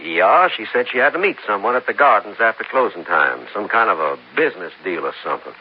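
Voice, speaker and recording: An actor playing a nervous, polite young boyfriend in a 1950s radio drama. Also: male voice, fairly low pitch, big pitch swings, brisk, breathy edge. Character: nervous young male boyfriend, eager to please. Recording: dull old radio broadcast.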